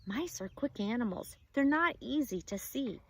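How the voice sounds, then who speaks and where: Calm, cautious little father in a storybook, read by a woman; hushed and steady. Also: on the high side, normal speed, husky.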